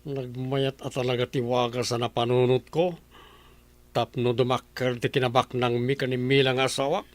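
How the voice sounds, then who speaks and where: A man's voice: mid-range voice, flat delivery, normal speed, no echo, close to an everyday voice. A man voicing a greedy uncle who leads a kidnapping, giving orders in a gloating, sinister way in a radio drama. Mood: scheming.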